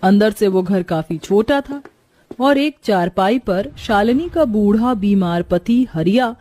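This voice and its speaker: A woman's voice: mid-range voice, animated, slow pace, warm tone, faint music behind. A woman narrating a scripted moral story as its storyteller.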